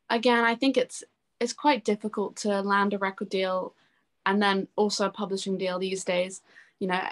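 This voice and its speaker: A young woman talking casually and enthusiastically as an interview guest, in a chatty, informal way. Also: mid-range voice. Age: young adult. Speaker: interview guest, singer-songwriter. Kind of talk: casual chat.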